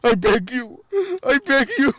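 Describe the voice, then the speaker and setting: An actor playing an excitable old miser in a radio play, theatrical and stammering. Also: male voice, very high-pitched, slow, heavy background noise, heavily acted. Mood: desperate, pleading.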